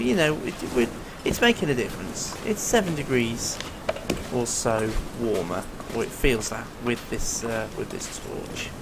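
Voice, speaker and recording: A man's voice: mid-range voice, background noise. A man with a strained, failing voice, giving easygoing let's-play commentary and explaining the game as he plays, with plenty of ums and uhs. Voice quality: rough, tired-sounding voice. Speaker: gameplay commentator.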